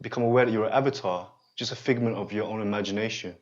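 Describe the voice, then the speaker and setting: A man in his forties guiding a student through a one-on-one therapy session, speaking haltingly and often stopping to check that the listener follows. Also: lowish voice, highs cut off. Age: 40s. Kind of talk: unscripted one-on-one guidance session.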